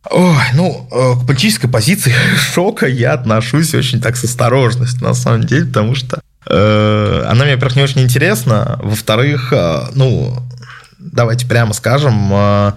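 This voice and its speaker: A man hosting a casual question-and-answer session, giving his opinions in a measured way with many hesitant filler sounds. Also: mid-range voice, lively intonation, creaky. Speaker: host answering viewer questions. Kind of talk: casual spoken Q&A.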